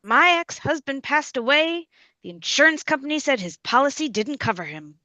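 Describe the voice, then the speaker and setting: Sketch comedy elderly female played by a woman: fretful, plaintive, raised bright voice. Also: high voice, lively intonation, moderate pace, highs cut off, voice pushed up. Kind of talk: sketch comedy table read.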